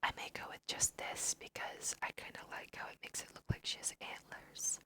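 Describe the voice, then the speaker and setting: A woman's voice: normal speed, bright, forceful tone. A woman whispering a casual, musing ASMR let's play, talking to her viewers as she goes. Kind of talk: whispered ASMR game commentary.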